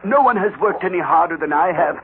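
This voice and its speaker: Through a tinny, noisy old radio recording comes an elderly dreamer of an inventor. His voice is very high for a man, and he declaims grandly, with theatrical fervor. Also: animated, a little fast. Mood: grand, eager. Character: fervent, grandiose, obsessed elderly rainmaker inventor, a father. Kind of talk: 1940s-50s radio drama acting.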